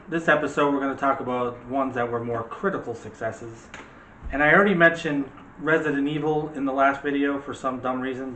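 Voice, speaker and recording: A man's voice: mid-range voice, a bit bright. Man chatting casually in an echoey room. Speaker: co-host of a movie discussion show. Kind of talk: casual opinionated movie chat. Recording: echoey room with faint background noise.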